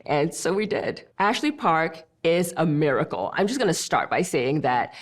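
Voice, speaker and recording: A woman's voice: deep voice, moderate pace. A middle-aged woman from Malaysia, a film director, talking animatedly in a seated studio interview. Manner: animated, gestures as she talks, easy conversational flow. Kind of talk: behind-the-scenes interview.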